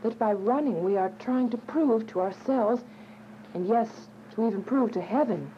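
A woman's voice: fairly high pitch, normal speed, a little hiss or hum, natural voice. A woman playing an earnest, devout, anxious woman who narrates and speaks in a radio drama. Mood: worried. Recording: muffled radio drama with music behind.

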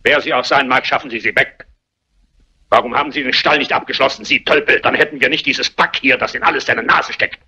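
An actor playing an irascible, spiteful old family patriarch, grumbling scornfully in German crime drama dialogue. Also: male voice, mid-range voice, steady tone, a little fast, pushed and bright, slightly rough. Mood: irritable. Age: older. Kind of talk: German crime film dubbing, theatrical dialogue.

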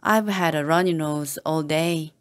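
A woman reading short patient sentences slowly and clearly, repeating each one the same way for learners to copy. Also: low voice. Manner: calm, even and carefully spoken, each sentence said the same way again and again. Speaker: English teacher reading model sentences. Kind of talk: slow, deliberate repeated phrases for learners to copy.

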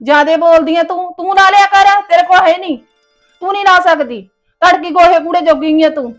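A woman's voice: extremely high pitch, moderate pace, faint music behind. A woman playing a vain, taunting young wife. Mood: mocking. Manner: taunting, dismissive. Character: vain young woman, lazy and sharp-tongued. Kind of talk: amateur comedy skit acting.

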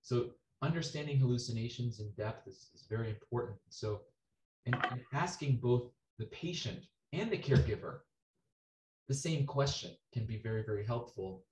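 A male doctor with a mid-pitched voice explains symptoms in a measured way. He is heard over a video call in a roomy, echoing space with a faint hum behind him. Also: expressive, a little mellow. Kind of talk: expert explanation. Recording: roomy with faint background noise.

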